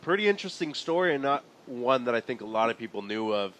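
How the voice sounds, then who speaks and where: A man doing live play-by-play for a sporting event, his voice mid-pitched and ordinary. He keeps a steady, informative delivery, reading out scores and the time on the clock. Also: fast, rough recording. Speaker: play-by-play commentator.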